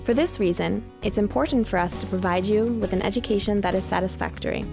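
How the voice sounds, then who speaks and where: A woman reading a scripted informational voice-over in an even, measured way. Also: mid-range voice, vocal fry, over music. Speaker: voice-over narrator and interpreter.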